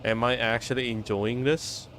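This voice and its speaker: A man giving casual live-stream game commentary in a laid-back, halting, slangy way. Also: mid-range voice, fairly even intonation, a bit bright, a little hiss or hum. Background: game music.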